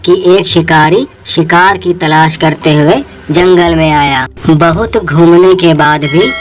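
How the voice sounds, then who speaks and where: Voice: medium pitch, slow. A narrator reading a scripted children's moral story. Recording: music and birdsong behind the voice.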